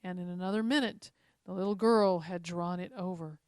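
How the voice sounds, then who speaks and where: A woman reads a story aloud as a narrator, in an expressive storytelling style. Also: on the low side, moderate pace, clear, resonant tone, no echo, clean studio sound. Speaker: story reader.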